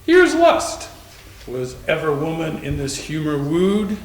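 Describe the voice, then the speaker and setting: A man's voice: on the high side, huge pitch swings, takes it slowly, slight background noise, full character voice. A man in a readers theater playing a young man who declaims a stern, pious father's sermon in a melodramatic way. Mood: wry, amused. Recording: echoey room.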